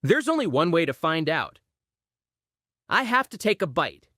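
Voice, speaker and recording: Animated, unhurried woman reading a children's story. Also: lowish voice, no room sound, clean studio sound. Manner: expressive, acting out exclamations and sound words. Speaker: audiobook reader.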